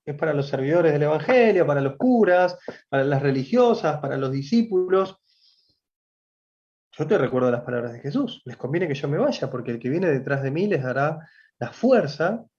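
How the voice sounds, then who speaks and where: A man's voice: a little high, a little mellow, dull audio. A man in his forties with a Rioplatense Spanish accent, teaching from the Gospel in a livestreamed sermon, speaking conversationally and addressing listeners directly. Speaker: preacher.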